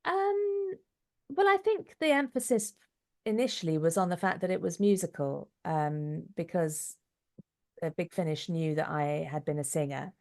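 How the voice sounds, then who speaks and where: A woman's voice: fairly low pitch, expressive, moderate pace. A middle-aged woman speaking as an interview guest, reflective, articulate and emphatic, on muffled call audio.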